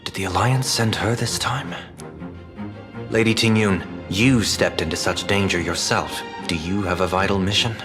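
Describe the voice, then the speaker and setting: A courteous, inquisitive gentleman from a video game, voiced by a man. His voice is low, and he speaks with formal composure over clearly audible music. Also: steady tone, moderate pace, lightly acted. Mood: polite, curious. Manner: polite, formal. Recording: music under the voice.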